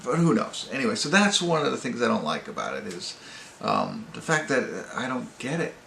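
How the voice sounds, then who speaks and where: A man hosting a casual, unscripted movie review, talking in a halting, rambling way and often correcting himself. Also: medium pitch, big pitch swings, vocal fry, fairly bright tone. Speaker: movie review channel host. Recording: echoey room with faint noise.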